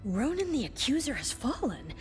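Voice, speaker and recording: A woman's voice: high voice, big pitch swings, normal speed, over loud music. An actress voicing a composed, formal queen in a video game cutscene. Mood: regal. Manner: poised, formal. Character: regal composed female queen.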